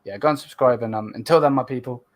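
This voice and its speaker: A laid-back man chats on a late-night livestream. His voice sits in an ordinary middle pitch, and his talk is loose and sweary as he presses viewers to subscribe. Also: fast. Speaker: channel host.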